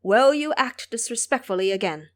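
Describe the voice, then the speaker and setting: A woman plays a stern monk master in an audio storybook tale. He is cautious and admonishing, putting measured questions to his disciple. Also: fairly high pitch, animated, close to an everyday voice.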